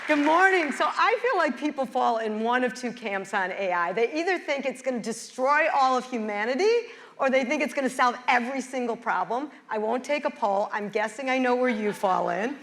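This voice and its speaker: A woman's voice: fairly high pitch, animated, fairly bright tone, a faint crowd, a little hiss or hum. Upbeat woman moderating a panel. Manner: upbeat, brisk questioning.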